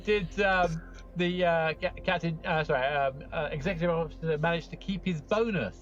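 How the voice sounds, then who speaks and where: A man acting as game master, narrating and chatting casually, often halting as he searches for words. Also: fairly high pitch, a bit bright, highs cut off. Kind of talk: improvised narration and casual chat.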